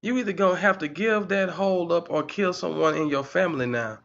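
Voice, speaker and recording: A man in his forties hosts a heated commentary stream, his voice sitting high for a man. He repeats himself and keeps asking the chat rhetorical questions. The sound is dull and lo-fi. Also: a little fast, gravelly, fairly bright tone. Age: 40s. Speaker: channel host. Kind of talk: livestream commentary, reading the chat.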